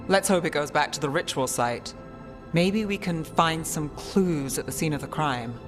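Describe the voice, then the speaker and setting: A woman playing a cool, sardonic investigator in a fantasy video game, with a dry and measured way of talking. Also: deep voice, close to an everyday voice. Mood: cool, wry. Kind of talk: video game cutscene acting. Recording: loud music behind the voice.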